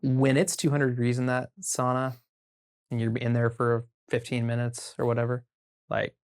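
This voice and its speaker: An American man chatting casually as a podcast guest, telling stories informally and haltingly as he thinks aloud. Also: mid-range voice, completely flat delivery, smooth. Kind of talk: casual conversational storytelling.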